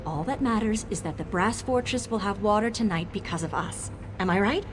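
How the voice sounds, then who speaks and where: A woman's voice: medium pitch, fairly quick, background noise, natural voice. A woman voicing an eager, boastful young female mage tinkerer in a video game, dramatic and nervously evasive. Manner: dramatic, self-congratulating, hesitant when cornered. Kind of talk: video game character dialogue.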